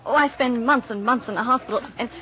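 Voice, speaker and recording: A woman's voice: fairly high pitch, fast talker, lightly acted. An actress playing a sweet, earnest young woman in a breathless, emotional 1940s radio drama style. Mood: wistful, earnest. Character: sweet, earnest young English war bride, amnesiac. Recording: dull 1940s radio recording, audience noise.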